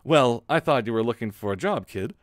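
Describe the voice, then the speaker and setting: A man's voice: mid-range voice, animated, fairly quick, dry recording, studio quality, close to an everyday voice. A man voicing an easygoing, casual male detective. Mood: amused.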